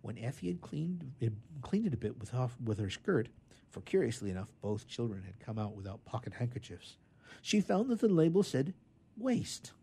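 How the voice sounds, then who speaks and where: A man reads a children's story with expressive, theatrical emphasis. His voice is soft-edged and somewhat husky, and his pitch moves widely from phrase to phrase. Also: normal speed.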